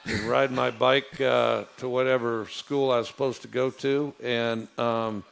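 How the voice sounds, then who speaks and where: A man with a low voice, telling stories in a casual, rambling way, with many fillers and restarts. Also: little pitch movement, a little slow, creaky, a bit bright, smooth, rough recording. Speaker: football coach. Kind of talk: casual storytelling.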